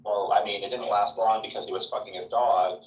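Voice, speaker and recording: Casual male podcaster, mid-pitched storyteller, heard from across an echoey room. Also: fairly even intonation, brisk. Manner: storytelling, heavy use of "like". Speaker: podcast co-host. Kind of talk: casual chat. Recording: roomy, distant, lo-fi.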